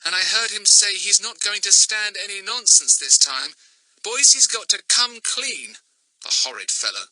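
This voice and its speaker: A man voicing a helpful, warm-hearted young woman with a clear voice in a children's storybook. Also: high-pitched, animated, normal speed, dry recording, voice pushed up, full character voice, low-quality recording. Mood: indignant.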